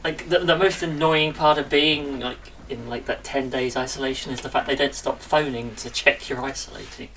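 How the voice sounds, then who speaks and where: Chatty woman, bright and distant. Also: rumbling low, moderate pace, few pauses, slight background noise. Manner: chatty, self-interrupting, lively. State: recovering from illness. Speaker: podcast co-host. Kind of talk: casual chat.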